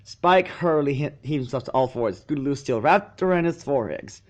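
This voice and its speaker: A man's voice: mid-range voice, animated, brisk. A man reading fan fiction aloud as narrator, sometimes stumbling and repeating a phrase. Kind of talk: scripted story narration.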